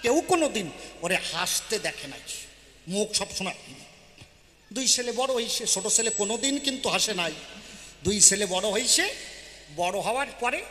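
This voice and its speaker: A man's voice: high-pitched, bright, forceful tone. A man telling comic stories on stage, conversational, repeating phrases and checking in with listeners. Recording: very echoey hall, faint noise.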